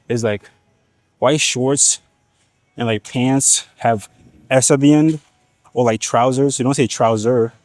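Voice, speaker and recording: A man's voice: mid-range voice, a little mellow, dry recording. A man from Nigeria whose first language is Igbo, rambling casually in a gym vlog with slang and swearing. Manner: slangy, profane, rambling asides. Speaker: fitness vlogger.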